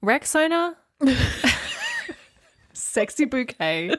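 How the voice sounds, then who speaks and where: A woman's voice: high voice, big pitch swings, drawn-out words, dry recording, studio quality. A young Australian woman co-hosting a casual comic podcast, making emphatic, mock-dramatic declarations. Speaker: podcast co-host.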